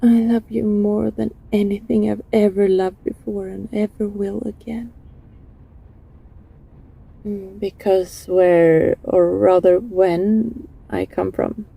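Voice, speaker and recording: A woman playing a lovesick, desperately devoted former bully from the future in an intimate audio roleplay, speaking haltingly and emotionally in a soft, husky voice. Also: mid-range voice, level tone, exaggerated voice, poor audio. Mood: tender, earnest. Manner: halting, emotional, breathy sighs and stammers.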